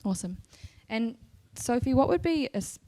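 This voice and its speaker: A woman's voice: a little high, lively intonation, normal speed, warm tone. A woman moderating a panel discussion in a conversational way, as its host. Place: live event in front of an audience.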